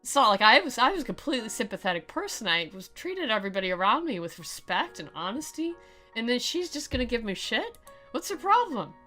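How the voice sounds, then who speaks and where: A woman's voice: a little high, very animated, a little fast, sharp tone, soft background music, voice pushed up. A woman voicing a bitter, self-pitying teenage schoolboy in an exaggerated, dramatic visual novel reading. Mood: indignant, wounded.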